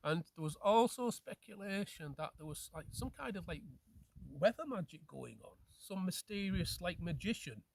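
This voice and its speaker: Male folklore storyteller, voice on the higher side, conversational, halting and repetitive phrasing. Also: animated, gravelly, no room sound. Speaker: storyteller, host. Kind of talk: conversational telling of local folklore.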